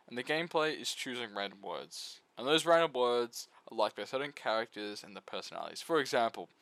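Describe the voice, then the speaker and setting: Man reviewing games with very animated pitch. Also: no echo. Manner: irreverent, flippant asides. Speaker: video essayist. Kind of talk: casual video essay review of visual novels.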